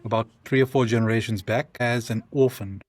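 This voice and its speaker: A South African man, a podcast guest, talking through his story in halting restarts and frequent ums. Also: medium pitch, fairly even intonation, no echo. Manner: thoughtful, speaks in halting restarts with many ums. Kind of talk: conversational interview.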